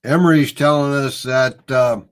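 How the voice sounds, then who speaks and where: A man's voice: mid-range voice, fairly even intonation, measured pace. A middle-aged man with a Midwestern accent, hosting a casual live chat and telling stories in a rambling, easygoing way. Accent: Midwestern American. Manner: easygoing, rambling storyteller, chuckles often. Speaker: host.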